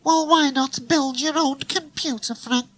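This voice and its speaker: Man voicing a high, cheeky little puppet boy. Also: a little slow, a bit bright, no room sound. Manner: pert backchat. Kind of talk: comic storytelling on tape.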